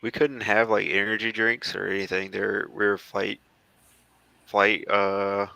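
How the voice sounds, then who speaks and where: American man, casual rambling talk, gravelly fry, bright forceful tone, unhurried and slow, halting delivery. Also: on the low side, flat delivery, no room sound. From southeastern United States. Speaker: guest, former Marine air traffic controller. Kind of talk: casual chat, talking through old photos.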